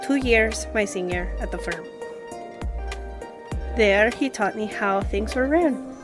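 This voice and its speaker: A woman playing a composed, confident young lawyer and boss in an audio roleplay, with a measured, earnest delivery. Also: medium pitch, over music, lightly acted. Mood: wistful, hesitant.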